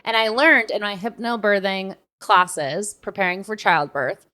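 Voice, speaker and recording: A young woman co-hosting a podcast in casual conversation, animated and given to acting out asides. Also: mid-range voice, a little slow. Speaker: podcast co-host.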